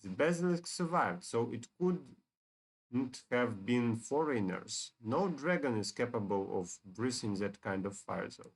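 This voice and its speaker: A man's voice: mid-range voice, lively intonation, takes it slowly, slightly rough, full character voice. Man reading as a solemn fantasy hero. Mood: grave. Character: earnest fantasy heroes returning to a ruined dragon village.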